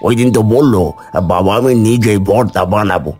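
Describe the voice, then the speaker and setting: A man's voice: on the low side, normal speed, darkish tone, soft background music, lightly acted. A man voicing a kindly, pious older village uncle who gives encouragement in a cartoon story. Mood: kindly, encouraging.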